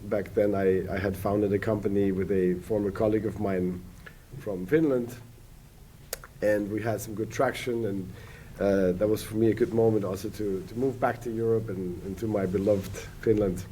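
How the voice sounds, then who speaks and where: Podcast guest, German-native man, 40s, mellow, halting and rambling. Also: lowish voice, fairly even intonation, slight background noise, low-quality recording. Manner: rambling, many hesitant restarts and fillers. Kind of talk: casual podcast conversation.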